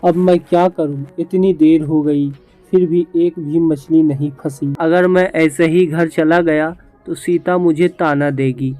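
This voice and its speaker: A man's voice: a little high, a little mellow, close to an everyday voice. A man voicing a poor, henpecked village fisherman in a cartoon story, meek and plaintive.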